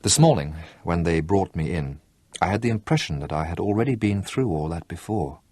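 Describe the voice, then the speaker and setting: A man voicing a commanding, weary colonel who speaks calmly with dry humor. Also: deep voice, a little fast, no echo. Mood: wry. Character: grave, commanding male colonel, wry and weary.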